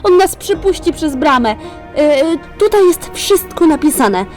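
A young woman acting an eager, hopeful daughter in an amateur radio drama, excitable and questioning. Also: high-pitched, measured pace, faint music behind. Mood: intent reading. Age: young adult.

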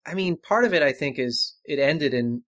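A man's voice: on the high side, animated, normal speed, fairly bright tone, dry recording, clean studio sound. A man speaking as a guest in a casual panel discussion, often searching for his words.

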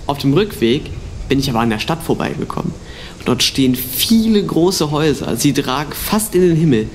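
A man's voice: medium pitch, slight background noise, natural voice. A man playing a lenient, restless wall guard in a school radio play.

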